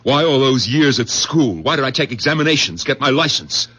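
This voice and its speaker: An actor playing an irritable, excitable older doctor in a radio drama, husky, sardonic and emphatic in delivery. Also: male voice, mid-range voice, a little fast. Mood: exasperated. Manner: exasperated, emphatic, theatrical. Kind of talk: old-time radio drama acting. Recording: dull lo-fi radio drama recording.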